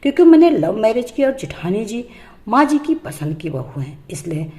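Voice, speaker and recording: A woman's voice: medium pitch, big pitch swings, normal speed, mellow, close to an everyday voice. Haughty young daughter-in-law, played by a woman storyteller. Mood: resentful. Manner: boastful, accusing.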